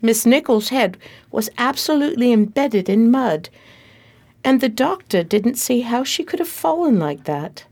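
A woman playing a loyal, long-suffering detective's assistant, narrating and acting in a slightly prim, expressive radio play style. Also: a little high, unhurried.

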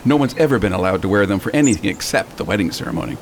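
A man's voice: lowish voice, animated, racing, slight background noise. A man playing a jovial, indulgent wealthy fiancé in a radio drama, affectionate in manner. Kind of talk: amateur radio drama acting.

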